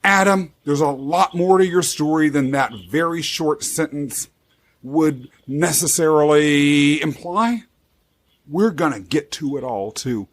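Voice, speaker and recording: A man's voice: on the high side, a little slow. A man hosting a podcast interview, asking questions in an enthusiastic, playful way. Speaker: podcast host.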